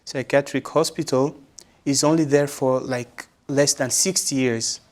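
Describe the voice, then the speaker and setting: A man from Congo, answering interview questions as a religious brother and psychologist, speaking in a measured, thoughtful way with many pauses and fillers. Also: mid-range voice, steady tone.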